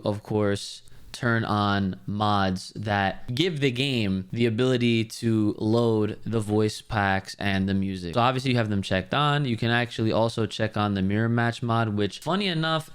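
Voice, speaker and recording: A man's voice: lowish voice, clean tone. A man giving a casual screen-share gaming tutorial, explaining things step by step in an informal, rambling way.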